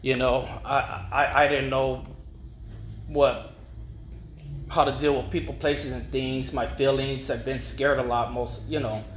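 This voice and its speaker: A middle-aged man gives an informal personal testimony, rambling and haltingly repeating words. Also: medium pitch, level tone. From East Los Angeles, United States. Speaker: speaker at a recovery meeting. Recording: echoey room with faint noise.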